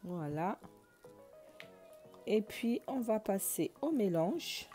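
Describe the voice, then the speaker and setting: A woman with a cold-stuffed, nasal voice talks her viewers through a beauty routine in a loose, chatty way, often pausing on fillers. Her pitch sits in an ordinary middle range. Faint music plays softly behind her. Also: lively intonation. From Comoros. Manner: chatty, many hesitations. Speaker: beauty vlogger. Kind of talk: casual how-to vlog.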